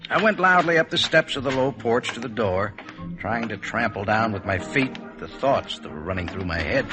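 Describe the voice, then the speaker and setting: A low-voiced, earnest and wistful man played by an actor speaks with dramatic, highly varied intonation. He is polite and introspective, in 1950s radio drama style, over prominent music. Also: expressive, moderate pace, close to an everyday voice. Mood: wistful, confessional. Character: earnest, wistful advertising man recalling an old infatuation.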